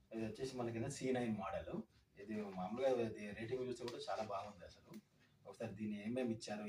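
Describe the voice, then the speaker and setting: A man's voice: on the low side, darkish tone, a little hiss or hum, rough recording. A man giving a casual, step-by-step product walkthrough, mixing in English words. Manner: informal, explains step by step with English words mixed in. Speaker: product reviewer. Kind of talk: casual unboxing and installation walkthrough.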